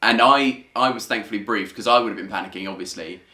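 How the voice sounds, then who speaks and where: A man's voice: on the low side, fast talker, pushed and bright. A British man chatting casually and jokily as he comments on a stage show he performed in. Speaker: amateur pantomime cast member. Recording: roomy echo.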